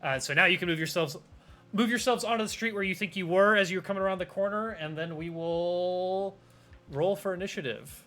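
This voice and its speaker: A man's voice: sits high, brisk, pushed and bright. A young man running a tabletop game as game master, rambling with plenty of fillers and restarts. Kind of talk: casual tabletop game narration.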